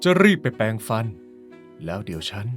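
A man's voice: normal speed, faint music behind, close to an everyday voice. A man playing a sulky, theatrical middle-aged father and doting husband in an audio drama, whiny and teasing. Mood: teasing, flirty. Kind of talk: Thai audio drama acting.

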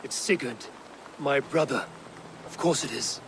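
An actor playing a loyal, determined young Norse raider in a video game, speaking tersely and solemnly. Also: male voice, medium pitch, a little slow, vocal fry, fairly bright tone, a little hiss or hum. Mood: grim, resolved. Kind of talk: dramatic video game acting.

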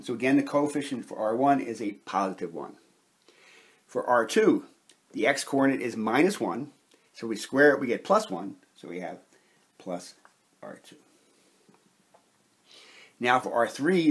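A man giving a methodical, step-by-step instructional lecture, pausing between short phrases in a deliberate way. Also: mid-range voice, husky. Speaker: chemistry lecturer, presenter. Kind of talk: step-by-step instructional explanation at a whiteboard.

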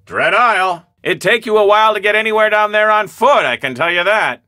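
A chatty, folksy seaplane pilot from an adventure game, with a high-pitched and very sharp, forceful voice. He talks informally and leans on his points, recorded dry. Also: brisk, dry recording. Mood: chatty, ominous. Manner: talkative, informal, emphatic. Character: chatty, folksy island float plane pilot. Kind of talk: scripted adventure game dialogue.

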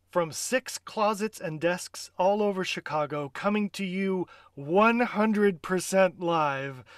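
A man's voice: high-pitched, no room sound, clean studio sound. A man hosting a live audio play from a closet at home, chatty and playful with whispered asides. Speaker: host and actor of an audio play. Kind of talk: scripted pre-show narration.

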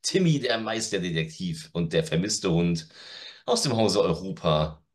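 A middle-aged man with a fairly low voice. He talks casually, like a reviewer in a chat, and gives his opinions bluntly and directly. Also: animated, a little slow. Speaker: audio drama reviewer, guest. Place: home room with media shelves. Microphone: video call.

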